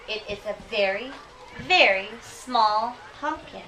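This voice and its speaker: Bright-voiced woman teacher, recorded from afar. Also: mid-range voice. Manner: expressive, deliberate reading, checking understanding with questions. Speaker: elementary school teacher. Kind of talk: read-aloud lesson with questions to children. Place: school classroom. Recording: noisy classroom, distant microphone.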